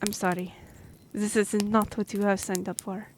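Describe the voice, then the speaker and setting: A woman's voice: mid-range voice, level tone, moderate pace, slight background noise, close to an everyday voice. A woman playing a courteous half-elf royal liaison in a fantasy audio drama, speaking formally and explaining things with some hesitation. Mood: apologetic, gentle.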